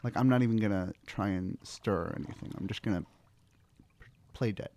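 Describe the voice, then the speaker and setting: A man's voice: lowish voice, brisk, a little mellow. A man playing a tabletop game, talking casually and hesitantly as he thinks aloud about what his character does.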